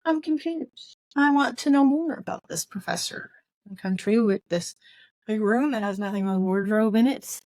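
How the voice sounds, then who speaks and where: A woman's voice: fairly high pitch, moderate pace, darkish tone, dry recording. Woman chatting casually, close dry sound. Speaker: book podcast co-host. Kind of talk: casual book discussion.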